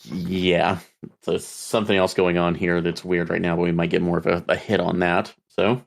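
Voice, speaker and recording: Very deep, gravelly man at a game table. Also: flat delivery, moderate pace. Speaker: player in a tabletop roleplaying game. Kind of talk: casual game table discussion.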